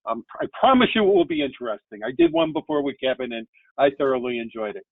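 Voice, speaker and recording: A man's voice: high voice, wildly swooping pitch, quick pace, gravelly, dry recording. A man hosting an interview over a video call, asking chatty, friendly questions. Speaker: interview host. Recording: tinny dry phone-like call audio.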